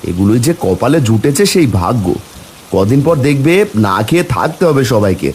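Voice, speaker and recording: Man acting animated, worried poor village husband. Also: medium pitch, a little fast, a little hiss or hum, close to an everyday voice. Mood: grumbling, worried. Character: poor village husband, weary but caring elder brother figure. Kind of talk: Bengali cartoon voice acting.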